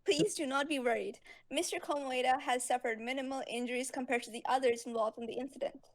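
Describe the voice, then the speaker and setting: A woman playing a polite, calm hospital caller who speaks formally and reassuringly in a fan fiction roleplay. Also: sits high, quick pace.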